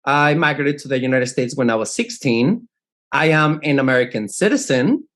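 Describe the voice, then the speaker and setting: A man's voice: mid-range voice, animated, normal speed, a bit bright. A man from Mexico hosting a podcast conversation, responding earnestly and searching for his words. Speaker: podcast host, college instructor. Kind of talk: conversational interview.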